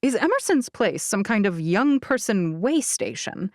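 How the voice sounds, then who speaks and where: A woman narrating a novel in the first person as an audiobook reader, with expressive, deliberate phrasing. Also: medium pitch, no echo, studio quality.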